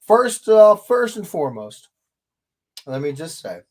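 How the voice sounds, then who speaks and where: A man hosting a casual livestream, from the American Midwest, talking in a rambling, chatty way that keeps interrupting itself, his throat still slightly hoarse. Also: on the high side, big pitch swings, unhurried, a little mellow. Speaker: livestream host.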